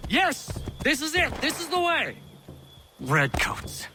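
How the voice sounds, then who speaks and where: An actor playing a fervent, excitable colonial patriot in a video game, speaking in an urgent, formal, theatrical way. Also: male voice, very high-pitched, takes it slowly, long reverb tail, noisy background, full character voice. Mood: excited, urgent. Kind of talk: video game character acting.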